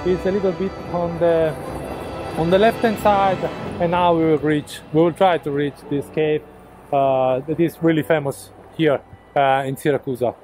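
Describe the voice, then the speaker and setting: A man's voice: fairly high pitch, fairly even intonation, normal speed. Guy in his 40s hiking a rocky coast, filming himself on a stick, lots of uhs, music underneath. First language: Italian. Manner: hesitant, many "uh" fillers while telling a story. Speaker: vlogger. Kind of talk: casual travel vlog. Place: outdoors on a rocky seaside coast. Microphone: action camera on a selfie stick. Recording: background music.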